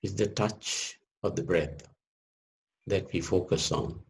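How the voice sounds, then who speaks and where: A man leading a guided meditation as a teacher, speaking gently in short measured phrases with long pauses. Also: low-pitched, steady tone, gravelly.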